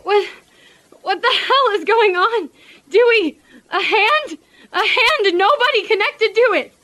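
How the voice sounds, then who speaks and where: A woman's voice: on the high side, expressive, a little slow, fairly bright tone. A woman playing an unfaithful, scornful, easily panicked wife in a horror audio drama, with intense dramatic delivery.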